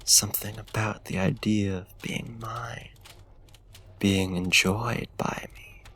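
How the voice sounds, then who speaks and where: ASMR roleplay vampire played by a man: courtly, predatory, seductive, very unhurried, creaky and breathy. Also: on the low side, steady tone, fairly bright tone, heavily acted. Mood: coaxing, hypnotic. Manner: formal, archaic phrasing, slow deliberate pauses, courtly endearments. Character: suave, predatory ancient male vampire, seductive and menacing. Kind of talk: ASMR roleplay, intimate theatrical monologue.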